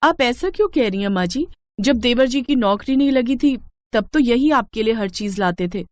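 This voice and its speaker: A woman's voice: on the high side, lively intonation, normal speed, dry recording, lightly acted. A woman as a humble, earnest young mother. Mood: reproachful. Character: humble, principled elder daughter-in-law, patient poor mother.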